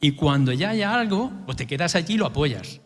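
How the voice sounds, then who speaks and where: A man's voice: on the high side, very animated, fairly quick. A man on a conference panel answering directly, asking and answering his own questions. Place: conference hall. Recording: reverberant hall.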